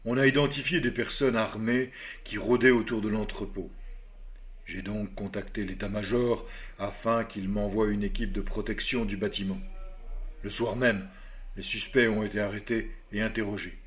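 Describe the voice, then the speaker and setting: An actor playing a composed army officer who answers job interview questions in a measured, formal way, in a scripted learner dialogue. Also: male voice, on the low side, steady tone, fairly bright tone, natural voice. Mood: calm.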